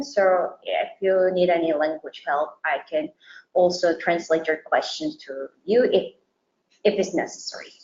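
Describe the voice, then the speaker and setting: Female webinar presenter, steady delivery, echoey lo-fi sound, faint noise. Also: mid-range voice. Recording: roomy, dull lo-fi sound with faint hiss.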